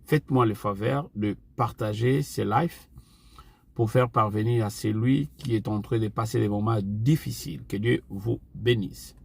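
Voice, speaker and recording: Slow, gravelly preacher. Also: male voice, lowish voice, darkish tone. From Angola. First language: Portuguese. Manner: slow, deliberate preaching with repeated refrains. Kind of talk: online sermon.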